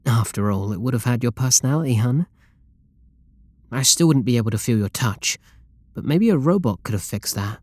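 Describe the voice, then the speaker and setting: A man's voice: medium pitch, animated, normal speed, darkish tone, no room sound, lightly acted. A man with a British accent playing an obsessive, possessive, lovestruck game developer in an intimate roleplay audio drama, doting and controlling. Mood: fervent, confiding. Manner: doting, intimate, pleading endearments.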